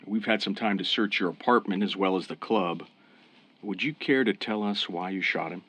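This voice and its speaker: An actor playing a calm, fair-minded police officer in a radio crime drama, measured and authoritative. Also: male voice, low voice. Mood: calm, businesslike. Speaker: police interrogator. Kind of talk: old-time radio crime drama acting.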